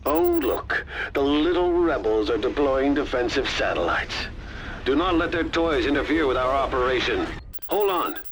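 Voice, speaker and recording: An actor playing a haughty, sardonic pirate fleet commander in a video game, pompous, with clipped orders. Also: male voice, medium pitch, animated, moderate pace, a little hiss or hum, lightly acted. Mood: intrigued.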